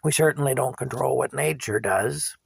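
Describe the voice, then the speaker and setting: A man, likely older, talking earnestly and plainly to his viewers in a candid monologue, his throat going hoarse. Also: medium pitch, moderate pace, dry recording. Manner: earnest, plain-spoken, repeats himself for emphasis. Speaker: vlogger.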